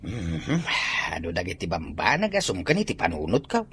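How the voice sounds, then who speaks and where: A man's voice: sits low, huge pitch swings, normal speed, fairly bright tone, slightly rough, heavily acted. A man playing a curious middle-aged father of a married son in an audio comedy drama. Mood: musing.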